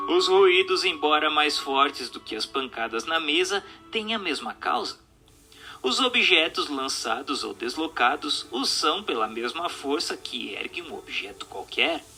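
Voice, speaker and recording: A Brazilian man narrates a scripted text in a very bright, forceful voice pitched a little high. His reading is formal and measured, with a teacherly delivery. Music plays under his voice.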